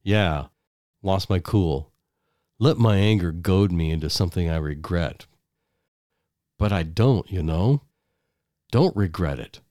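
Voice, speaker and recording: Man doing a fed up tired husband, flat and clipped, slow. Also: low-pitched, no echo, clean studio sound. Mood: weary.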